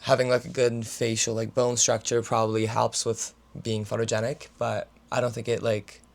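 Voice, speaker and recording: Young male student vlogger, mid-pitch, gravelly fry, raspy today; loose filler-laden chat to camera. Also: completely flat delivery, normal speed. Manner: filler-heavy, talks with his hands. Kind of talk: casual rambling vlog chat.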